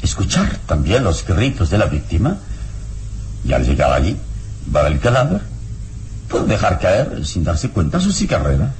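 An actor playing a calm, wise adventurer hero with a solemn, deliberate delivery in a radio drama. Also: male voice, deep voice, a little hiss or hum. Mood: calm, pondering. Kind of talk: dramatic radio narration and acting. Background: dramatic music.

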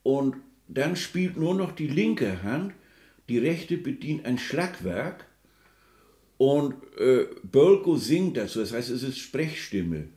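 In an echoey room, a musing music expert for a radio play. He talks slowly and haltingly, often hunting for the next word. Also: medium pitch, big pitch swings, warm tone. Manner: halting, searching for words, hesitant. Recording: roomy, reverberant sound.

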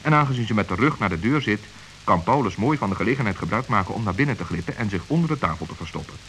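A man narrates a children's fairy-tale radio play. His voice sits fairly low. He tells the story in a calm, even, measured way. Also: a little hiss or hum.

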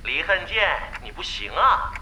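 A man's voice: fairly high pitch, big pitch swings, measured pace, bright, forceful tone, slight background noise, full character voice, low-quality recording. A man playing a self-important gamer in an audio drama, lecturing pompously like a mentor. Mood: preachy. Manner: preachy, pompous. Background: music.

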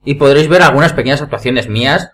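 A man with a medium-pitched voice and a Spanish accent from Spain. He chats casually and spontaneously as a member of a podcast panel. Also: fast talker, fairly bright tone.

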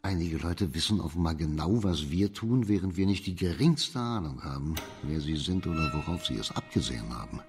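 Authoritative male judge, period radio mystery: low register, formal, deliberate, in command. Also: expressive, over music. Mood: grave, deliberate. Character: authoritative, shrewd male judge in imperial China. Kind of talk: German radio crime drama acting.

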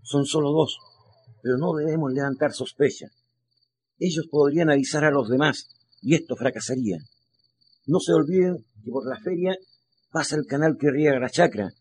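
A Chilean actor playing a cautious, encouraging community leader giving instructions in a radio drama. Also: male voice, fairly high pitch, moderate pace, pauses often, darkish tone, a little hiss or hum, lightly acted. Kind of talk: radio play acting.

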